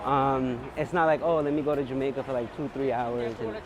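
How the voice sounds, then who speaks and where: A man's voice: mid-range voice, level tone, a little fast. A young man with a New York accent chatting casually and enthusiastically, using slang and plenty of filler "like". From New York City, USA. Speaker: street photographer, guest. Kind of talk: casual chat. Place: outdoors on a busy city street. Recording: clear outdoor street and traffic noise.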